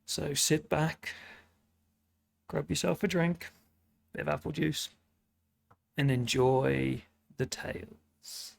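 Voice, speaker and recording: A tired British man with a gravelly, hoarse edge and a stuffy, sniffly nose reads verse aloud at an unhurried pace. His voice sits a little high for a man. Between readings he chats in a self-mocking way. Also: steady tone. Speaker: storyteller host. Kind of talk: casual intro, then reading an epic poem aloud.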